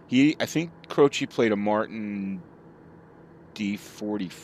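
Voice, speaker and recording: Low-voiced middle-aged guy, rambly casual streamer chat, faint engine hum behind. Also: slow pace, darkish tone. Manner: rambling, chatty with live chat.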